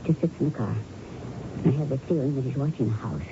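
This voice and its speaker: Low-key, thoughtful policeman's widow. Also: fast talker. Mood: uneasy, understated. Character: observant, quietly composed widow of a policeman. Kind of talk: radio drama acting. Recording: dull, noisy old radio recording.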